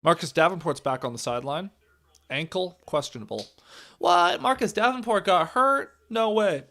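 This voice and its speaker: A young man with a raspy, worn-out voice calls a football game live, his pitch sitting a little high. He shifts from loud, excited play calls to quiet muttered asides, and his tired voice cracks now and then. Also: normal speed. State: hoarse, voice cracking. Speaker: live reaction streamer. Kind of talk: casual play-by-play commentary.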